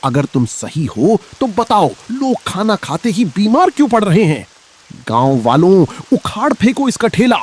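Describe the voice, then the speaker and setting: An actor playing a greedy, jealous, bullying eatery owner in a village cartoon story, accusing and blustering. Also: male voice, sits high, big pitch swings, a little slow, darkish tone, slightly rough, heavily acted. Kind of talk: dramatic Hindi moral-story cartoon acting.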